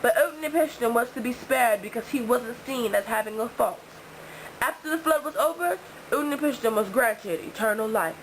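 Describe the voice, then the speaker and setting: A woman's voice: a little high, expressive, moderate pace, a little hiss or hum, rough recording. A teenage girl narrating a school puppet show playfully, then interviewing classmates. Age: teens. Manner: playful, giggly.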